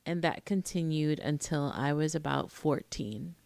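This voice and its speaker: A woman in her late forties with an American accent, telling a personal story to camera in an expressive way with pauses for emphasis. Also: sits low, vocal fry, dry recording. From Queens, New York, United States. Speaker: vlogger, emotional intimacy coach.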